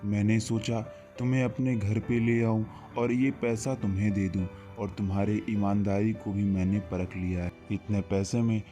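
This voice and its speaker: A man playing the calm, kindly ghost of a dead man, solemn and reassuring, in a horror cartoon. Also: lowish voice, normal speed, few pauses, soft background music, lightly acted.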